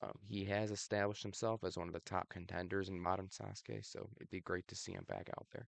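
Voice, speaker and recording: A young man with a very low voice and a gravelly fry talks through his predictions in a loose, offhand way, filling pauses with ums. His voice is a little raspy from allergies. The recording is dry and close, with no room sound. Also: no room sound. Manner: relaxed, many ums, laughs at himself. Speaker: video host. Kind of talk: casual predictions commentary.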